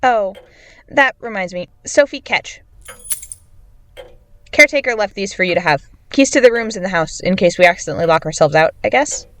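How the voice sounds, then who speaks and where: A woman's voice: mid-range voice, expressive, normal speed, close to an everyday voice. A woman playing a dry, matter-of-fact, laconic friend in a naturalistic fiction podcast. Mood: curious, casual.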